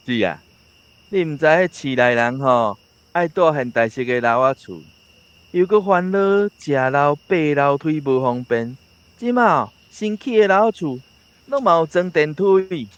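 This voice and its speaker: A man's voice: fairly high pitch, animated, a little slow, lo-fi sound. A man with a Taiwanese accent playing an easygoing older village uncle who chats in a folksy way in a radio drama. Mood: chatty.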